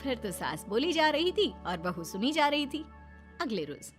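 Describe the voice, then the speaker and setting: Woman narrating a family moral story, high pitched, dramatic read, soft music under it. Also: big pitch swings, moderate pace.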